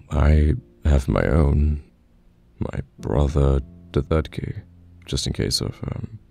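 Man playing a very deep, slow, soft-spoken boyfriend. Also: vocal fry, heavily acted. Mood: earnest, reassuring. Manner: gentle, halting, reassuring. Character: caring, patient boyfriend reassuring a new live-in partner. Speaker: voice actor in an audio roleplay. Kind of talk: soft-spoken ASMR boyfriend roleplay, pillow talk. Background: soft piano or guitar music.

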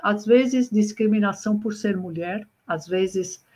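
A woman's voice: mid-range voice, a little slow, dark tone. A middle-aged woman from Brazil, an engineering professor guesting on a video-call interview, talking reflectively with frequent restarts and repeats. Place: home room with bookshelves. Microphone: webcam on video call. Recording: muffled video-call audio.

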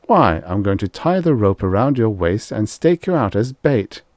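A man's voice: fairly low pitch, big pitch swings, moderate pace, darkish tone, dry recording, studio quality, pitched above the performer's own voice. A man voicing an imperious big-game hunter in a science fiction story, commanding, amused and genially menacing. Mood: amused menace.

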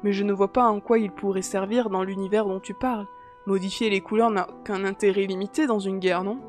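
A woman's voice: medium pitch, fairly even intonation, a little fast, darkish tone, faint music behind, close to an everyday voice. A woman as an irritable, self-important teenage boy. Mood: shaken, uncertain. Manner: curt and self-important, later hesitant. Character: arrogant, irritable teenage boy, shaken and incredulous. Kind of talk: audiobook character dialogue.

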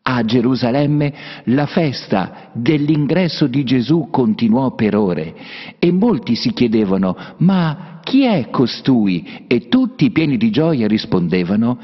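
A man narrating a children's Bible cartoon as an expressive, explanatory storyteller. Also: mid-range voice, a little slow, slightly rough. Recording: muffled, reverberant, music behind. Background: background music.

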